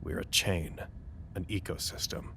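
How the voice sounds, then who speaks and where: A man playing a weary, reflective staff member of a secretive facility, narrating an audio drama in a measured, solemn way. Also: low-pitched, steady tone, vocal fry, bright, forceful tone, a little hiss or hum, heavily acted. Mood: somber, reflective.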